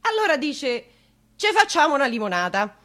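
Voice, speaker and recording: Flustered, rambling, bright-voiced witness. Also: female voice, high voice, sing-song, moderate pace. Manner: rambling, hesitant, self-interrupting, defensive. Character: flustered, chatty woman witness recalling events to interviewer. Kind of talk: naturalistic radio drama monologue.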